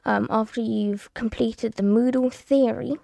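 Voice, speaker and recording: British woman, tutorial narrator, very gravelly fry, close dry sound, casual step-by-step explaining. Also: a little high, steady tone, measured pace, mellow, no room sound. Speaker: tutorial presenter.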